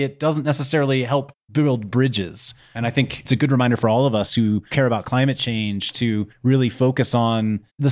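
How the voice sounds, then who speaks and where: A man hosting an interview, reflecting on the conversation and inviting his guest to share more. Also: mid-range voice. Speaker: interview host.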